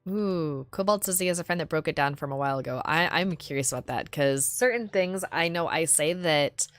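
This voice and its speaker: A woman's voice: low voice, a little fast, dry recording. A woman from California chatting casually on a stream, playful and curious, laughing often.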